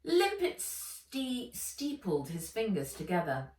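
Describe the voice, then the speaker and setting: A woman narrates a children's book as its author. Her reading is deliberate and very animated in pitch, at a slow pace. The sound is echoey, as if a little way from the microphone. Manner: expressive, deliberate storytelling. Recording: roomy echo, distant mic.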